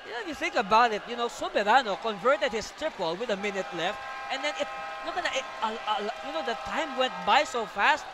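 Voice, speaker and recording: A man calls a college basketball finish in a very high voice, shouting over the arena on the key plays. The broadcast carries steady crowd noise under him. He is energetic and keeps his talk moving. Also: fairly quick. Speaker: play-by-play commentator. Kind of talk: live basketball commentary. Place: courtside booth in a packed arena.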